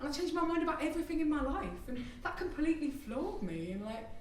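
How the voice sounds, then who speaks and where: High-voiced thirtysomething woman doing standup, rambly and apologetic, echoey hall, kinda far off mic. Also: very animated, quick pace, slight background noise. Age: 30s. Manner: chatty, self-deprecating, apologetic asides. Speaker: comedian. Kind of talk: stand-up comedy. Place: live theatre stage. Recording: echoey venue, heard from a distance.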